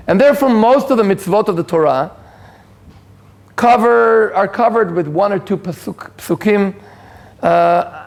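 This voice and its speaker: High, gravelly Israeli man lecturing. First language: Hebrew. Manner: self-deprecating, joking asides, frequent restarts and fillers. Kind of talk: informal lecture to an audience. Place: community hall with live audience.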